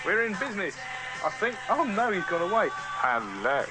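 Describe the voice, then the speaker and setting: A man's voice: high voice, very animated, normal speed, over music, low-quality recording. A man ad-libbing as a flustered live sports radio presenter. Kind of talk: ad-libbed live broadcast.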